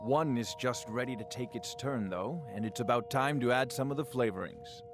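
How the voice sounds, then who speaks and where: An actor playing an unruffled, friendly mead brewer in a video game, easygoing in manner. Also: male voice, mid-range voice, normal speed, lightly acted. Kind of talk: video game character dialogue. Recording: music behind the voice.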